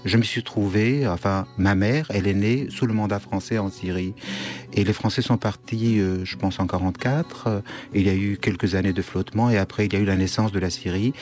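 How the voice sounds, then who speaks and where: Radio guest, man, lowish voice, halting and searching for words. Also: steady tone, darkish tone, faint music behind. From Syria. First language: Kurdish. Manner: hesitant, many restarts and fillers. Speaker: guest writer. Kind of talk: radio interview.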